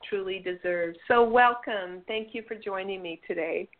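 A woman's voice: mid-range voice, normal speed. A woman hosting an interview radio show, introducing the program and her guest. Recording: narrow tinny phone-line sound.

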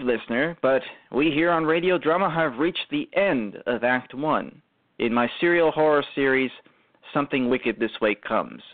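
A man presents a live radio show in a mid-range voice. His phrasing is formal and ornate, like a scripted announcement, with halting stumbles along the way. The sound is thin and narrow, as if down a telephone line. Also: medium pitch. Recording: tinny phone-like internet radio line.

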